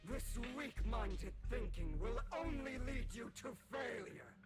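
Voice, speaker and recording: Menacing, slow inner demon. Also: medium pitch, animated. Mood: scornful. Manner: hissing whispers swelling to fierce outbursts. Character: menacing inner demon, bitter, taunting, sinister. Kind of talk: dramatic video game voice acting. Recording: loud background music from game.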